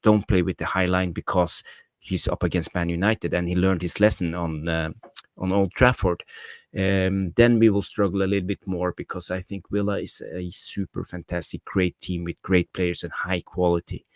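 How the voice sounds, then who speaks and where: A man co-hosting a casual football chat, measured and thinking aloud as he talks. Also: low voice, fairly even intonation, no echo. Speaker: co-host of a football fan show.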